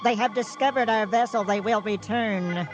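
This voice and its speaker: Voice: mid-range voice, moderate pace, highs cut off, natural voice. An actor playing a commanding alien invader in beaver form, speaking in formal, stilted orders in a comic radio drama. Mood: solemn, commanding. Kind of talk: old-time radio comedy drama acting. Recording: radio play with music underneath.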